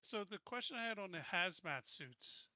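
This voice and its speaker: A man's voice: high-pitched, expressive, moderate pace, bright, forceful tone, no room sound, muffled recording. A man chatting casually as a player in an online tabletop game. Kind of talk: casual gaming chat.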